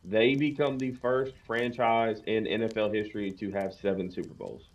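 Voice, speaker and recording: A man's voice: fairly low pitch, flat delivery, normal speed, a little hiss or hum. Slightly muffled man talking sports casually. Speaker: podcast co-host.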